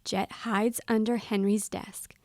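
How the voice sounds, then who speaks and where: A woman reading a children's storybook aloud expressively, with deliberate, dramatic pauses. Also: mid-range voice, level tone, a little mellow, dry recording, clean studio sound. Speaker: storybook reader.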